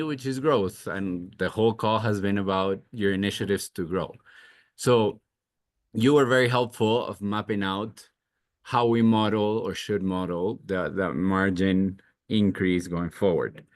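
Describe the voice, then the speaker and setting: A man with a deep voice, a financial analyst asking a question on a conference call in a relaxed, chatty way with many fillers.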